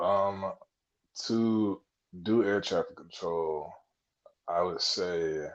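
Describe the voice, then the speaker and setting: A man in his twenties giving casual interview answers in an explanatory, conversational way, as a guest on a podcast. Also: deep voice. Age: 20s. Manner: explanatory, conversational, frequent "you know". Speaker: podcast guest, air traffic controller trainee. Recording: dull, muffled lo-fi audio.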